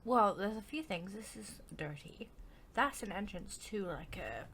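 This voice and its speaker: A woman's voice: medium pitch, expressive, a little slow, a bit bright, a little hiss or hum. A woman giving casual gameplay commentary as a streamer, playful, reactive and rambling. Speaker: game streamer.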